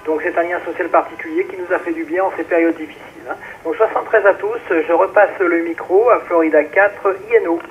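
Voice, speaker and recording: Higher-voiced man on tinny, hissy radio. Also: steady tone, a little fast, hardly any pauses. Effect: two-way radio. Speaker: amateur radio operator. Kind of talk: radio check-in, conversational. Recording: tinny ham radio link, faint noise.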